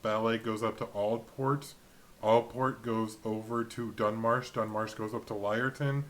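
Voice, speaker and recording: A man's voice: fairly low pitch, steady tone, measured pace, a bit bright. A man casually recapping a tabletop game as a co-host, in an informal, matter-of-fact way. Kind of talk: casual chat and recap narration.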